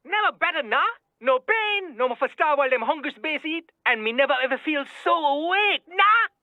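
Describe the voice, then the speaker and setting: A very high, boyish voice, in dry, thin game audio. It is an eager, naive street kid who talks in excitable, informal patois. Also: male voice, moderate pace, dry recording, narrow, tinny audio. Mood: excited. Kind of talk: video game cutscene acting.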